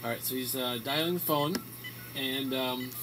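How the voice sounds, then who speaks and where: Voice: medium pitch, fairly even intonation, normal speed, noisy background. A radio host in improvised comedy banter, playing the bossy, exasperated straight man.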